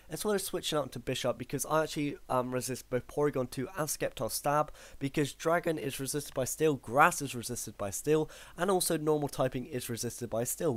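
A man with a croaky voice commentating his own online battle, thinking aloud with frequent ums and self-corrections. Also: mid-range voice, dry recording. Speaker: gaming commentator. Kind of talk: live battle commentary over gameplay.

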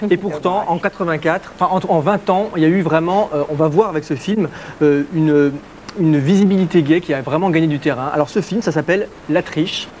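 A man in his thirties presents a film pick on a TV studio set, chatty and explanatory. His voice sits a bit high, with faint noise behind. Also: a little fast, darkish tone. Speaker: DVD columnist. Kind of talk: presenting a film segment on a talk show. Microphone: lapel mic.

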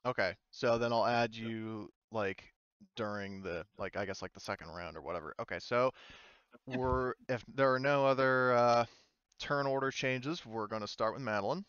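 Clear-voiced man running a game. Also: medium pitch, moderate pace, a bit bright, dry recording, muffled recording. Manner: informal, swearing. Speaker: game master. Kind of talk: running an online tabletop game.

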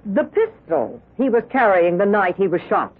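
Courtroom prosecutor: stern and formal, husky, fairly high voice with lively emphasis, vintage radio sound. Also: female voice. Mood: stern, probing. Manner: formal, deliberate, pointed. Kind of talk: 1970s radio drama acting. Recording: muffled 1970s radio broadcast.

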